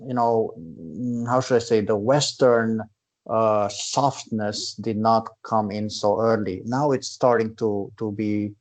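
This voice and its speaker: A man's voice: lowish voice, a little mellow. A man from Transylvania in Romania, a karate instructor explaining in casual conversation and checking in with "right?". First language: Hungarian. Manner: earnest, explaining at length with repeated "right?".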